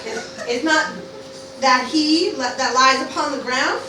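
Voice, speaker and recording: A woman's voice: high-pitched, a little slow. A woman playing an earnest, grieving teenage girl as a Roman soldier, lamenting dramatically in an amateur Shakespeare radio parody. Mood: worried. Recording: very echoey, distant mic, faint noise.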